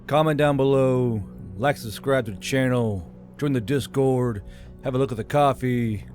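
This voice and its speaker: Mid-pitched man narrating a fantasy story. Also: normal speed, darkish tone, soft background music. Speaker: channel host and narrator. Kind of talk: scripted fantasy audiobook narration.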